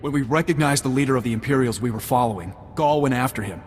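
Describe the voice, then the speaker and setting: An actor playing an embittered, loyal soldier of a prisoner commando unit in a video game, intense and emotional. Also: male voice, mid-range voice, fast talker, slight background noise, close to an everyday voice. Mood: bitter, urgent. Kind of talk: video game dramatic dialogue. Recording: long reverb, music underneath.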